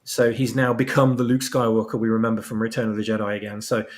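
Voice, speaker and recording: A man speaking as a guest author, explaining casually and softening his statements as he goes. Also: fairly low pitch, level tone, fast. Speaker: guest fan-fiction author.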